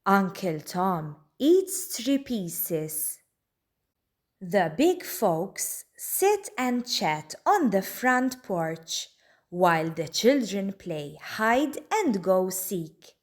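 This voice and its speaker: A woman reading a children's storybook aloud in a deliberate, expressive way. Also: mid-range voice. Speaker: children's book reader. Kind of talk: read-aloud storybook narration.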